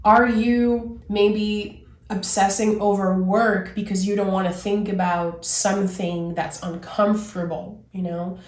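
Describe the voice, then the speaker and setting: A woman reading tarot cards with a raspy, slightly hoarse voice as she recovers from being sick, speaking in a soothing, reflective way. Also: medium pitch, reverberant. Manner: slow, soothing, reflective delivery. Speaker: tarot reader.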